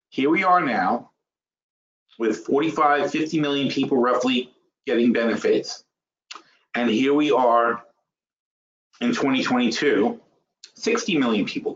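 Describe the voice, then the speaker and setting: A man of fifty-five giving an informal, explanatory financial lecture as a webinar host, blunt and emphatic, thinking aloud, repeating phrases and asking rhetorical questions. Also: medium pitch. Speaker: webinar host and trading educator. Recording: roomy, dull lo-fi webinar audio.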